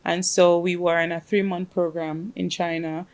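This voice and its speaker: Woman, from the Caribbean, Spanish first language, lower voice, chatty with um pauses. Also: flat delivery, darkish tone. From Caribbean, grew up in Grenada. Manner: chatty storyteller, frequent "um" pauses. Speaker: guest, fintech project manager. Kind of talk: casual interview chat.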